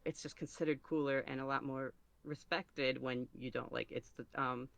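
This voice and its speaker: Guy on a podcast, kinda halting, lots of vocal fry, really dry sound. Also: mid-range voice, fairly even intonation, fairly bright tone, dry recording. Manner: hesitant, halting, thinking aloud. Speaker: podcast guest, ASMR roleplay creator. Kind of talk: casual podcast interview answers.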